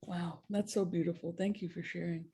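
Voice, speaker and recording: A moderator guiding a panel discussion, asking gentle, curious questions with many fillers. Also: medium pitch, flat delivery, normal speed, husky.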